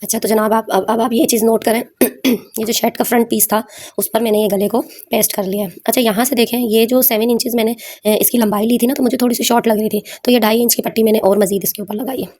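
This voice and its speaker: A woman's voice: a little high, fairly even intonation, fast, darkish tone, slightly rough. A woman with a sore throat, explaining a craft step by step in an informal, conversational way to viewers. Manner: conversational, addresses viewers with frequent check-in tags. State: sore throat, frequent throat clearing. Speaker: sewing tutorial host.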